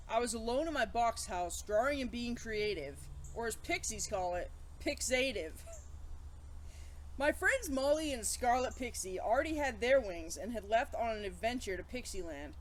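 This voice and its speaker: A woman hosting a children's story time, chatting playfully to kids and reading aloud in an animated way. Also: on the high side, a little hiss or hum, rough recording.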